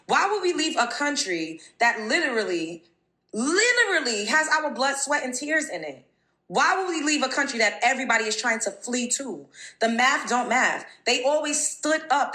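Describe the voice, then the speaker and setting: Bright, lively woman in an echoey room. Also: on the high side. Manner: rhetorical, passionate.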